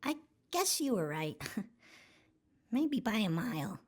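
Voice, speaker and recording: A woman's voice: mid-range voice, very animated, slow pace, darkish tone, voice pushed up, heavily acted. A woman voicing an earnest, polite little boy in a children's storybook read-aloud. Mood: pleased.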